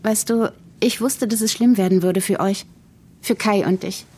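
A woman's voice: medium pitch, fairly even intonation, normal speed, darkish tone, slightly rough, natural voice. A middle-aged actress playing a cold, snobbish, scheming female politician in an audio drama, with a condescending, matter-of-fact delivery. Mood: contemptuous.

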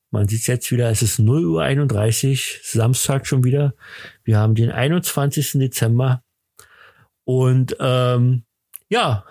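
A man hosts a podcast in an ordinary mid-pitched voice. He rambles casually and haltingly, with a mock self-important streak. The sound is close and dry. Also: gravelly, a little mellow, no room sound. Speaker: podcast host.

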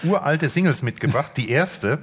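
A man's voice: on the high side, expressive, normal speed. A man co-hosting a casual podcast and handling the records. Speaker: co-host who plays records. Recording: faint background noise.

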